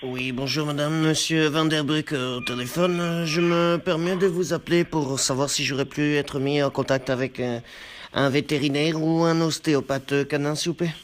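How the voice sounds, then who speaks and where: A man playing an embarrassed, formal truck driver on a deadpan prank call, halting and over-polite as he confesses. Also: a little high, a little hiss or hum, close to an everyday voice. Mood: embarrassed, hesitant. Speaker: prank caller.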